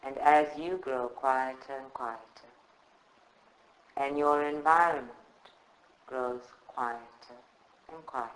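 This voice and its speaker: A man leading a guided meditation in a calm, soothing, measured way with long pauses. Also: medium pitch. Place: indoor room at a group meditation session. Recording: roomy, echoing live recording.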